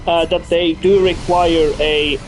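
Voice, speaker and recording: A man from Finland, casually commenting on a video game and chatting with viewers, relaxed and repeating words as he thinks aloud. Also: fairly high pitch, slow. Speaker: gaming streamer. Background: game music and sound effects.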